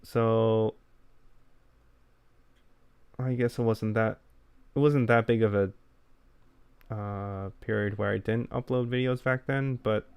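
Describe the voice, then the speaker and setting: A young man with a lowish, smooth, clean voice chats casually on a podcast. He keeps things plain and low-key and is quick to play himself down. The sound is dry and close, with no room in it. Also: fairly even intonation, a little mellow, no room sound. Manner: low-key, plain and unhurried, self-deprecating. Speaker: podcast co-host. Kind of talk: casual chat.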